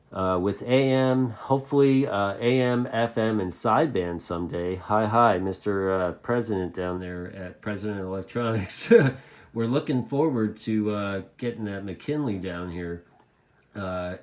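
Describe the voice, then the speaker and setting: American male hobby vlogger, lowish pitch, casual and unscripted, rambling delivery with repeated phrases. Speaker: CB radio hobbyist video host. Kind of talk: casual unscripted video chat to fellow hobbyists.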